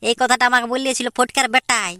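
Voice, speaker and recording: A woman's voice: a little high, steady tone, fast, sharp tone, no echo, clean studio sound. A woman voicing an earnest, dutiful young village brother in a cartoon comedy, with a Purulia Bengali accent. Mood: questioning. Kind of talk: amateur cartoon comedy dialogue.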